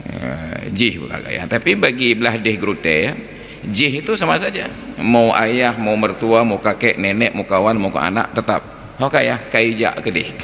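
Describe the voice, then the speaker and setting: A man's voice: mid-range voice, a bit bright. A man with an Acehnese accent giving an informal Islamic lecture, conversational, asking rhetorical questions and illustrating with everyday examples. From Aceh, Indonesia. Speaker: Islamic teacher giving a mosque study talk. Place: large reverberant mosque interior. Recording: echoey hall with background noise.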